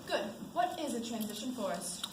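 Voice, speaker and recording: A woman's voice: fairly high pitch, big pitch swings, moderate pace. A woman acting as a sarcastic, exacting college teacher giving a pointed lecture in an amateur stage play. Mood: sarcastic, lecturing. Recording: echoey stage with clear background noise.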